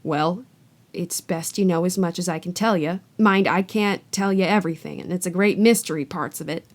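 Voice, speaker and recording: A huge, rough-mannered giant of a man who bursts out loudly when he is angry and drops low when he is anxious, as a woman puts him on while reading. He talks in a blunt, homely way. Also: normal speed, lightly acted. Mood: gruff. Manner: blustering, loud outbursts. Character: big rough-voiced half-giant man, gruff and warm.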